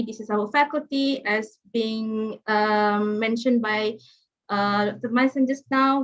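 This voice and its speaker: Malaysian woman lecturing over a muffled call. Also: fairly high pitch. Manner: chatty, friendly, many fillers and restarts. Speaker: guest lecturer. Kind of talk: online lecture. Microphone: video call. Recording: muffled video call audio.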